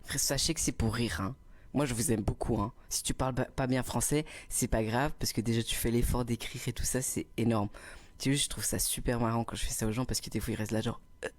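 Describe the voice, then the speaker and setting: A young man hosting a casual livestream, answering chat and spinning cheeky, self-mocking stories. His voice sits at a middling pitch. It is picked up close on a small, inexpensive microphone. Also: fairly even intonation, fast. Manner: playful, teasing, self-mocking storyteller. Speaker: livestreamer reading chat comments. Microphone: cheap small clip microphone.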